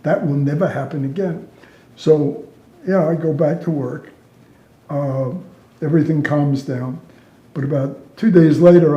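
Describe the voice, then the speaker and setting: A man's voice: on the high side, warm tone, dull audio. An older man, a retired air traffic controller, casually telling amused anecdotes and acting out bits of dialogue. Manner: amused, chuckles through own stories, reenacts dialogue. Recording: roomy, echoey sound.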